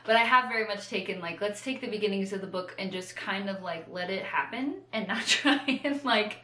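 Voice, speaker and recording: A woman's voice: mid-range voice, brisk, bright, forceful tone, roomy sound. A woman in casual, unscripted chat as co-host of a book show, rambling and self-deprecating, often slipping into acted-out quotes.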